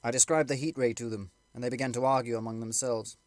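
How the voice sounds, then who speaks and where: Male narrator for classic fiction, mid-pitched, very dry recording, scripted literary delivery. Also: steady tone, quick pace, no room sound. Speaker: audiobook narrator.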